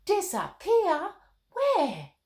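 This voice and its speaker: A woman voicing an excitable, sweet nine-year-old storybook girl with a high, girlish voice and eager exclamations. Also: drawn-out words, echoey room, heavily acted. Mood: excited, amazed.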